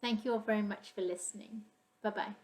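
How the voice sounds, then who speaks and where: A woman's voice: mid-range voice, fairly even intonation, a little fast. A woman wrapping up a short talk in a friendly, encouraging way. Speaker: speaker in a video.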